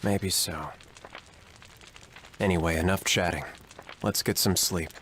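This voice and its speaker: Stoic demon fighter from a game, low low voice, curt, takes it slow. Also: male voice, fairly even intonation, fairly bright tone, a little hiss or hum. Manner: curt, measured. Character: terse, stoic male demon warrior. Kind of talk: anime video game voice acting.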